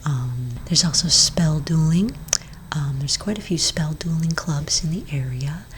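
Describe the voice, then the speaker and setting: A woman speaking in a breathy whisper for a soft-spoken ASMR roleplay, playing a gentle, hesitant therapist for witches. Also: low voice, a little hiss or hum. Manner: gentle, hesitant, halting counsel.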